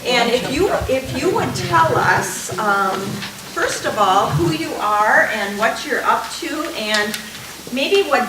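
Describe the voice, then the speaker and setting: A woman hosting a community panel, talking in a friendly, halting way with lots of fillers. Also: a little high, animated. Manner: friendly, hesitant, many fillers. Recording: echoey distant room sound with hiss.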